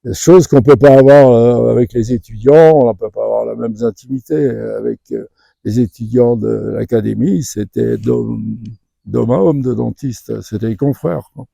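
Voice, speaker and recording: A man's voice: medium pitch, moderate pace, vocal fry, mellow, dry recording. An older man from western France telling anecdotes in a spontaneous interview, chatty, digressive and good-humoured. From Vendée region, western France. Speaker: interviewee, retired dental professor.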